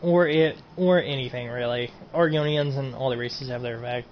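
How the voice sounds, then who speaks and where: A man's voice: mid-range voice, moderate pace. Guy rambling about game mods on stream, keeps saying and everything, dull muffled mic, faint hiss. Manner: rambling, repeats himself, trails off with "and everything". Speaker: gaming streamer. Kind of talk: casual mod showcase. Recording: dull lo-fi home recording, faint noise.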